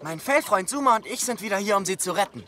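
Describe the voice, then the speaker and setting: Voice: mid-range voice, expressive, fast talker, natural voice. An actor playing a confident, kind young boy who leads a rescue team, upbeat and encouraging, in a children's audio play. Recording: loud music behind the voice.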